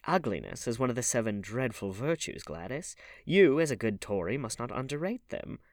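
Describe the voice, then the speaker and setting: A man voicing a witty, languid aristocratic gentleman who speaks in arch, theatrical epigrams in a novel. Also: medium pitch, sing-song, moderate pace, no room sound, clean studio sound. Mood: playful, grandiose.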